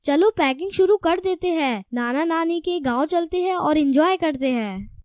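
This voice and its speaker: A man's voice: very high-pitched, lively intonation, moderate pace, no room sound, studio quality, pitched above the performer's own voice. A man voicing an eager, curious young child with a thin childlike voice in a cartoon children's story. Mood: excited. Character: young village-visiting child, excited and curious.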